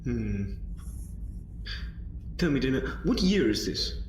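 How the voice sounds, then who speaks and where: A man's voice: medium pitch, big pitch swings, takes it slowly, a little hiss or hum, rough recording. A man playing a quirky, eccentric time-traveling adventurer in an audio drama, speaking in a whimsical, rambling way. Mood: curious. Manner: whimsical, rambling, self-interrupting.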